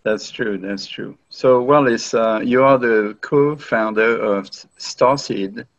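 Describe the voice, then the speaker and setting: A man's voice: on the low side, slow pace, no echo. Courteous male podcast host, dry lo-fi sound. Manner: plain, courteous questioning. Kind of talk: hosting an interview.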